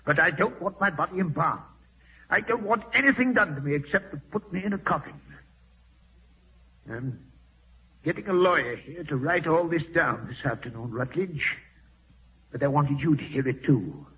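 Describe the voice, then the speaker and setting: A man's voice: a little high, expressive, moderate pace, long pauses, slightly rough, far from the mic. An actor playing an ailing, domineering old man who dreads being buried alive, in 1940s radio horror style. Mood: urgent insistence. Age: older. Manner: insistent, commanding, brooding. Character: old ailing man, morbidly fearful of live burial, domineering. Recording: muffled vintage radio broadcast, thin narrow band.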